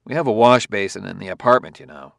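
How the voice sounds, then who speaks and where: A man voicing a terse, uneasy male detective in an audiobook, curt and low-key, sometimes mumbling. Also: lively intonation, normal speed, fairly bright tone, no echo, clean studio sound. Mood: casual. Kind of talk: audiobook character voice.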